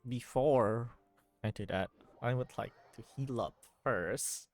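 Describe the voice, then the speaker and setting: A man's voice: medium pitch, takes it slowly, soft background music. Man musing aloud as he streams a game. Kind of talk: casual commentary while playing a video game.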